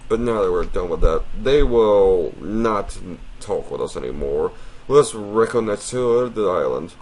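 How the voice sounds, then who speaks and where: Deep-voiced man politely narrating a game. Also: animated, a little slow, darkish tone, breathy edge. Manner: polite, apologetic, addresses the viewer formally. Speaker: let's play host. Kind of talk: casual gaming commentary, reading game text aloud.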